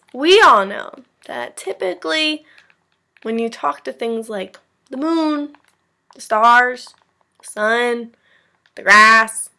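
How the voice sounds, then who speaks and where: A woman teacher reading a storybook aloud to young children, pausing to explain things simply. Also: on the high side, sharp tone.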